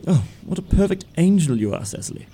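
A man playing a smitten young upper-class gentleman in an audio stage comedy, gushing and stammering as he pleads. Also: fairly high pitch, very animated, fast, husky, full character voice. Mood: adoring.

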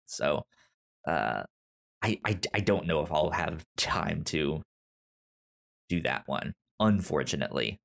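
A man co-hosting a casual pop-culture podcast, talking in a halting way with repeated words and trailing sentences. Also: rumbling low, expressive, gravelly, a bit bright. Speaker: podcast co-host.

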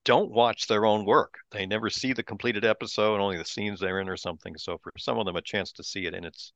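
A man co-hosting a podcast, chatting casually in a relaxed, explanatory way, on a dull lo-fi mic. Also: lowish voice, fast talker, no echo. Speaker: podcast co-host.

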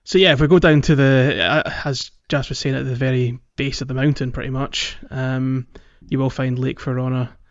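A man co-hosting a podcast, chatting casually about a video game in a rambling, halting, jokey way. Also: mid-range voice, steady tone. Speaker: podcast co-host. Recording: dull lo-fi audio, roomy echo.

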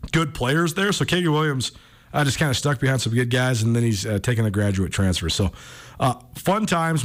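Man hosting conversational sports radio. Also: mid-range voice. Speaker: sports radio show host.

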